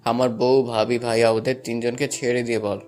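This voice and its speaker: A man's voice: medium pitch, little pitch movement, brisk, a little mellow, soft background music, natural voice. A man playing a bold, urgent young man on a rescue mission in an audio story. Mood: commanding. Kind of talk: Bengali audio story acting.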